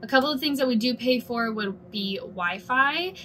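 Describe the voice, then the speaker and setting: A woman in her twenties, a cruise ship singer and dancer, talks casually to the camera from a small cabin bedroom, chatty and full of quick asides. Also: a little high, animated, pushed and bright. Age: 20s. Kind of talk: casual vlog explainer. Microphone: camera in front at desk distance. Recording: noisy room with ambient hum.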